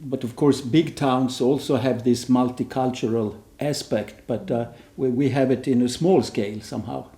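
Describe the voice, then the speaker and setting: Man on a panel, super mellow dark voice, keeps stalling n restarting, echoey room. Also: mid-range voice, steady tone, normal speed, few pauses. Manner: hesitant, searching for words with many restarts. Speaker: panel speaker. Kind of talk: conference panel discussion. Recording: roomy conference room.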